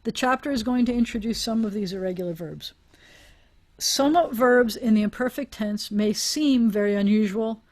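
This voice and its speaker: A woman's voice: fairly high pitch, moderate pace, darkish tone. A woman teaching a language class over a video call, explaining aloud with restarts and small laughs. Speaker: Hebrew teacher.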